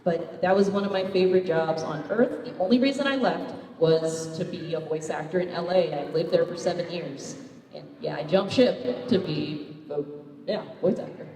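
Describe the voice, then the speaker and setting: Brief: woman panelist, mid-pitched chatty storyteller, very reverberant distant hall sound. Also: moderate pace, warm tone. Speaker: voice actor panelist. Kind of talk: casual panel chat. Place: large convention hall. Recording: echoey hall from far off.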